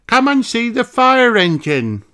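A man voicing an excitable, bossy female rabbit firefighter from a children's storybook, with emphatic, stretched exclamations. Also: extremely high pitch, measured pace, slight background noise, pitched above the performer's own voice, full character voice. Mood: cheerful.

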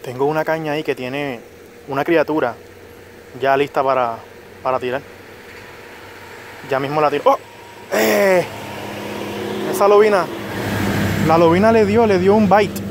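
A young man, filming himself fishing by a pond and commenting casually, muttering to himself with sudden excited bursts. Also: fairly high pitch, moderate pace, long pauses. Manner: excitable bursts when a fish strikes, otherwise quiet muttering. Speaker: angler filming his fishing. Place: outdoors by a small pond among trees. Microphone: head or chest-mounted action camera. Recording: outdoor camera audio with faint background noise.

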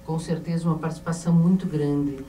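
A middle-aged Brazilian woman giving a formal tribute from a panel in a measured, ceremonious way. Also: deep voice, steady tone, mellow. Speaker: panel member. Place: indoor auditorium panel table. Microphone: handheld mic, recorded by a distant camera. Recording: echoey, noisy hall from far off.

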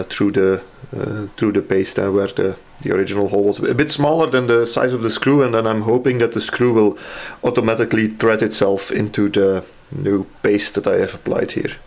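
A man with a fairly low voice gives a casual workbench walkthrough. He speaks hesitantly, thinking aloud as he explains what he is doing. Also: darkish tone. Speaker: hobby radio restorer presenting a repair. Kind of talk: casual hands-on repair walkthrough.

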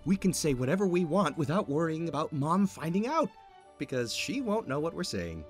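A man's voice: on the high side, sing-song, brisk, faint music behind. A man playing a mischievous young merman prince in an audio drama. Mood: keen, mischievous.

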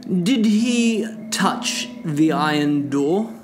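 A man voicing an imperious, uneasy king in an audio play, formal and commanding, with loud music around him. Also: on the high side, expressive, drawn-out words, noisy background, pitched above the performer's own voice. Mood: imperious, suspicious. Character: imperious, uneasy ancient king questioning servants.

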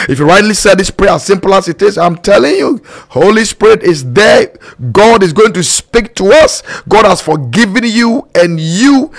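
Middle aged guy preaching, kinda high voice, fervent prayer stuff, short punchy phrases he repeats. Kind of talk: sermon and led prayer. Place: small dark studio, indoors. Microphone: close boom studio microphone with headphones.

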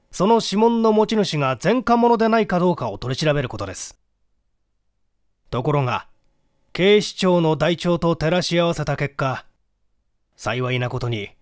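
A man's voice: on the high side, dry recording, studio quality. A man voicing a methodical police detective who explains deductions formally in a dramatic audiobook reading.

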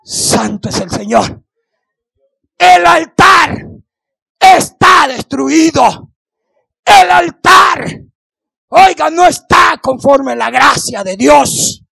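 A middle-aged man delivers a fervent sermon in a very high, gravelly, strained voice. He exhorts his listeners, repeating phrases for emphasis and throwing out questions. The sound is dry, from a handheld microphone held close. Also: expressive, extremely slow, long pauses, sharp tone, no echo, shouting. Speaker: preacher. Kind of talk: impassioned Pentecostal-style sermon. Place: indoor church hall, on the platform.

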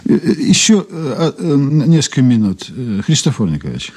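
An actor playing a polite, patient, probing investigator with a husky voice in a 1980s Soviet radio play. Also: male voice, mid-range voice, big pitch swings, brisk, warm tone. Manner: polite, questioning, hesitant probing.

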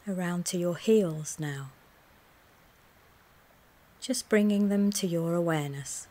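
A woman wellness coach leads a guided mindfulness body scan in a gentle, soothing, measured way, speaking in short phrases with pauses. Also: fairly low pitch. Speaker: wellness coach guiding a relaxation session.

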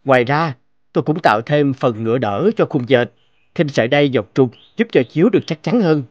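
A man's voice: medium pitch, lively intonation, fairly quick, no echo, dull audio, close to an everyday voice. A man playing an earnest, devoted young scholar in a cartoon, speaking expressively. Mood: instructive. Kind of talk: cartoon voice acting.

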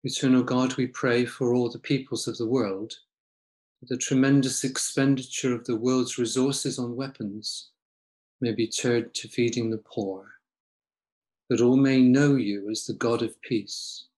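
Older male prayer leader, unhurried read intercessions, medium pitch, recorded at home. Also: steady tone, darkish tone, low-quality recording. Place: small room at home with bookshelves.

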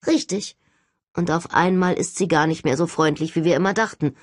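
A woman's voice: fairly low pitch, normal speed, no room sound, clean studio sound, close to an everyday voice. A woman voicing a blunt, impatient young female ghost hunter in an audiobook, snappy and exasperated. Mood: suspicious.

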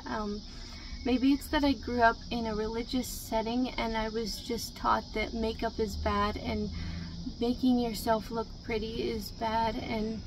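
Woman, 20s, vlogger, chatty, slightly high, even-toned, faint hiss. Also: rough recording. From Canada. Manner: soft-spoken, chatty, reads from notes with many ums. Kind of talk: casual get-to-know-me talk to camera. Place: small room inside a camper. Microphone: camera in front at close range.

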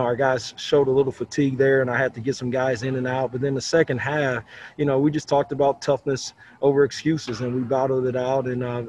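Middle-aged male coach, halting postgame answers, slightly muffled webcam audio with faint arena hum. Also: mid-range voice, darkish tone. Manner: plainspoken, repeats words, many you-knows. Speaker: head basketball coach. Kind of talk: postgame interview. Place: large indoor arena, masked.

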